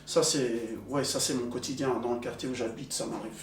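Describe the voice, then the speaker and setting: A young man who grew up in Belgium, telling his own experiences in a relaxed group talk with many fillers and pauses. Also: medium pitch. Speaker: panel guest. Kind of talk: casual conversation. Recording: echoey room, distant mic, soft music.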